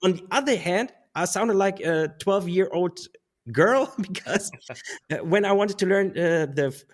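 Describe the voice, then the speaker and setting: Guy in his 30s, talks kinda high, rambles and laughs a lot. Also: measured pace. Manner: rambling, restarts, laughs often. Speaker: guest. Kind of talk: casual interview conversation.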